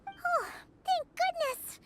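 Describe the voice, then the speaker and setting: A woman voicing a tiny, excitable floating fairy companion with a squeaky childlike voice in a video game. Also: slow. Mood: relieved. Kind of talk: animated game voice acting. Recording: game audio under loud music.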